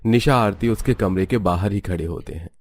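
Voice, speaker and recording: A man's voice: on the low side, brisk, no echo. A man reading scripted narration for a family cartoon story.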